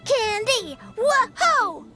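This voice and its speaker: A woman voicing an excitable, eager small child in a fantasy video game. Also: very high-pitched, drawn-out words, over music, heavily acted. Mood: gleeful.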